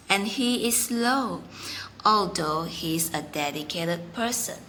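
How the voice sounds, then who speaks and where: Slow, lively-pitched woman storyteller. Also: a little hiss or hum. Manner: deliberate, careful phrasing in short chunks. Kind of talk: children's read-aloud storytelling and teaching.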